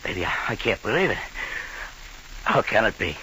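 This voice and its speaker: An actor playing a gruff, suspicious crime boss rattled by guilt, talking in clipped gangster slang in an old-time radio drama. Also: male voice, on the low side, sing-song, normal speed, bright, forceful tone, rough recording. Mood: pleading, agitated. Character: hard-boiled male gangland boss, suspicious, rattled by guilt. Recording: hissy vintage radio recording.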